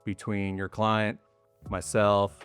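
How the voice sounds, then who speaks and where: A middle-aged man chatting as a guest, explaining things in a relaxed way with many casual fillers. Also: fairly low pitch, slow pace, smooth, no echo, soft background music. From raised partly in Costa Rica. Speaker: mortgage loan officer, guest. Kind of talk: casual interview chat.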